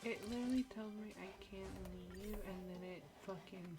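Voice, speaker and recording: A woman's voice: mid-range voice, fairly even intonation, normal speed. A woman livestreaming a game with casual, informal commentary, muttered asides and dramatic reactions. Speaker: game streamer. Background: video game music.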